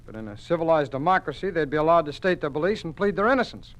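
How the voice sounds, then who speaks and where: An actor playing a principled, composed company lawyer, articulate and formal, with an American accent in a 1950s radio drama. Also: male voice, fairly high pitch, animated, quick pace, fairly bright tone. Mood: defiant.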